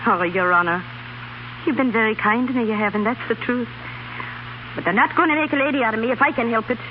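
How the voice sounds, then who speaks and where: A woman's voice: on the high side, quick pace, lightly acted. An actress playing a feisty, plain-spoken young Irish country girl with blunt dialect speech in a 1930s radio drama. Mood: stubborn, softening. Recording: dull, hissy 1930s radio recording.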